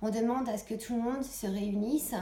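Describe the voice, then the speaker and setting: A woman's voice: a little high, steady tone, a little fast, warm tone. A woman gives an informal political address to viewers, earnest and exhorting, repeating phrases for emphasis. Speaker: online video host. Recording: echoey room, clear background noise.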